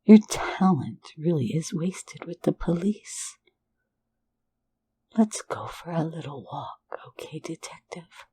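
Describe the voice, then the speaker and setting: A woman voicing a teasing, flirtatious phantom thief in an ASMR roleplay, in a soft, intimate whisper right at the mic. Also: deep voice, lively intonation, slow pace, breathy edge, heavily acted. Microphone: close mic for ASMR.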